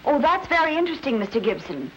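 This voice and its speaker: Nosy sharp detective wife in old radio mystery, high swoopy voice, bit of music. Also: female voice, sing-song, fast talker, a little hiss or hum, rough recording. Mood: shrewd, pointed. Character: sharp, inquisitive amateur sleuth wife, bright and persistent.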